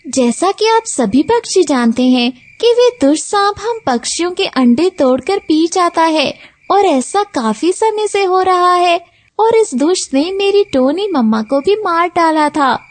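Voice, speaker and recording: Young girl bird, kids' cartoon female performer: brave, clever, earnest, high-pitched, animated delivery. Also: unhurried, no echo. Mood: grieving, earnest. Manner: earnest, determined. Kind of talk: children's cartoon story acting.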